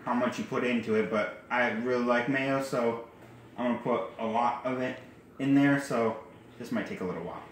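A man's voice: mid-range voice, normal speed, a little hiss or hum. A young man casually showing a recipe at home, chatting and correcting himself as he goes, filmed on a phone in his kitchen. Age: young adult. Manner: rambling, self-correcting, chatty asides. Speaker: cooking vlogger. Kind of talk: casual cooking demo. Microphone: handheld phone camera. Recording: echoey kitchen with faint clatter.

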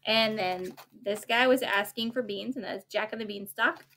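A woman in her forties reading a children's picture book aloud, pausing to explain it simply to a small child. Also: mid-range voice, a bit bright, a little hiss or hum. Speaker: storybook reader to a small child. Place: bright sunroom at home, seated on wicker sofa. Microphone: camera a few feet away.

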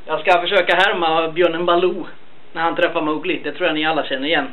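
A man's voice: fairly high pitch, steady tone, narrow, tinny audio. A young man talking casually to a camera in an indoor room. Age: young adult. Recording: amateur camera, faint background noise.

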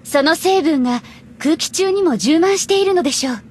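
A woman's voice: extremely high pitch, animated, moderate pace, darkish tone, a little hiss or hum. An actress playing a caring, observant young woman in an anime game, speaking politely and attentively. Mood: calm.

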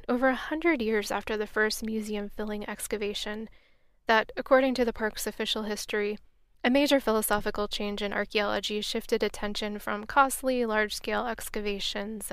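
A woman's voice: medium pitch, clear, resonant tone, no echo. A woman hosting a podcast, reading scripted travel narration in a reflective, wry, earnest way, on a close mic. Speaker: podcast host.

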